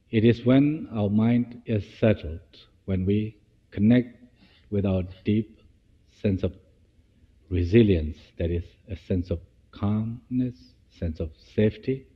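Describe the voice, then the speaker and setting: A man leading a guided meditation as a teacher, speaking in halting short phrases and restarting as he goes. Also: on the low side, slightly rough. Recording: dull, echoey hall-like sound.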